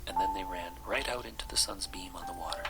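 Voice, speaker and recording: A man reading a children's story aloud as soft-spoken bedtime narration, with music behind him. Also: deep voice, fast, vocal fry. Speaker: storybook reader.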